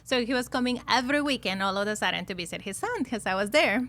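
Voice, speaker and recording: A woman's voice: on the high side, animated, fast, a bit bright. A woman of around forty from Peru with a Peruvian Spanish accent, telling stories as a podcast guest in a chatty way, laughing often between fillers. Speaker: podcast guest, loan officer. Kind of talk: casual storytelling interview.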